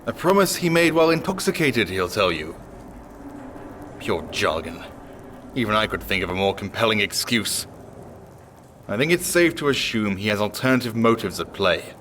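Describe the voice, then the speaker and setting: An actor playing a stern, righteous knight in a fantasy video game, speaking in a formal, grave, judgmental way. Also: male voice, lowish voice, lively intonation, moderate pace, long pauses, fairly bright tone, slight background noise. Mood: suspicious.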